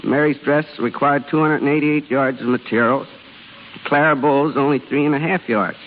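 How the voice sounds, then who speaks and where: A man's voice: medium pitch, steady tone, moderate pace. A man presenting a scripted talk on a vintage radio broadcast. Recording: echoing hall, tinny hissy old broadcast.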